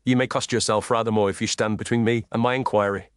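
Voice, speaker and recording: A man voicing a coolly commanding gentleman detective in an audio story, formal, clipped and incisive. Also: fairly low pitch, steady tone, quick pace, clear, resonant tone, no room sound, studio quality, close to an everyday voice. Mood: cold, pressing.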